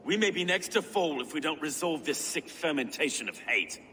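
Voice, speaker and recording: An actor playing an earnest, anxious medieval official in a game cutscene, speaking expressively and eloquently. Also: male voice, fairly high pitch, expressive, moderate pace, pushed and bright. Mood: troubled, urgent. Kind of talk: dramatic game cutscene acting. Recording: reverberant hall, faint ambient noise.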